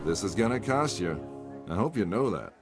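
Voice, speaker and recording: Low, wry mercenary adventurer, music behind. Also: male voice, big pitch swings, moderate pace. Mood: dry, reluctant. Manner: wry, sardonic. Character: cynical mercenary adventurer man, wry and world-weary, living off his family name. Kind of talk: video game acting and scripted narration.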